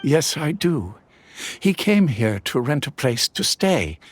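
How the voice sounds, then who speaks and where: A man voicing a kindly, hospitable village head in a game, unhurried and welcoming. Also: mid-range voice, sing-song, slightly rough, no echo, soft background music, full character voice. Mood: friendly. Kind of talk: game voice acting.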